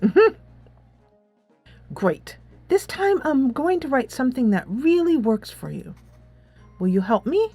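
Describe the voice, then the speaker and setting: A woman acting out sweet nerdy teenage girl, eager and pleading, raised lively pitch, visual novel game heroine, dry close sound. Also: slow pace, long pauses, slightly rough, no room sound, soft background music, exaggerated voice. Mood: eager, daring. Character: sweet, earnest high school girl, nerdy storyteller. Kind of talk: visual novel character read aloud.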